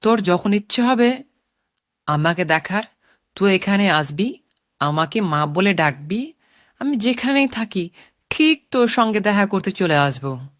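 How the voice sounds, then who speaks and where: A woman voicing a loving, sorrowful mother ghost in a cartoon, with a gentle, soothing manner. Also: mid-range voice, animated, measured pace, long pauses, no room sound, clean studio sound, close to an everyday voice. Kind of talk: Bengali cartoon voice acting.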